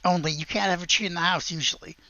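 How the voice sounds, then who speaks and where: Guy game mastering casually, creaky voice a bit high, dry lo-fi sound. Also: steady tone, fast talker, bright, forceful tone, no room sound. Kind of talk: casual tabletop game narration.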